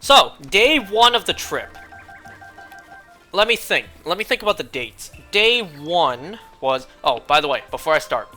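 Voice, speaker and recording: A young man streaming a game, chatting casually and rambling, clearing his throat often as he is losing his voice. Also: fairly high pitch, big pitch swings, slow, pauses often, bright, forceful tone, faint music behind.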